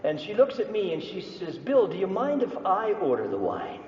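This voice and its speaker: A man's voice: sits high, wildly swooping pitch, moderate pace, a little mellow, slight background noise. Canadian man, playful speaker in echoey hall. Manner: playful, teasing audience interaction. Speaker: business speaker. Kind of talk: live motivational talk. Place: large hall at an expo. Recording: echoey hall, distant mic, dull sound.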